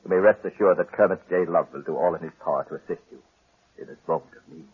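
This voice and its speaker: An actor playing a pompous, unctuous undertaker, solemn and formal, in 1940s radio style. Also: male voice, low voice, fast talker, husky, phone-quality sound.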